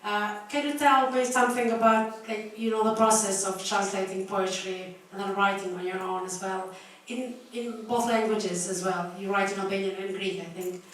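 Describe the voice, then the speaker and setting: A woman's voice: mid-range voice, steady tone, normal speed. A woman moderating a literature festival, introducing an author and asking questions, with frequent fillers. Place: festival stage in a large hall. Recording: echoey hall, voice from far off.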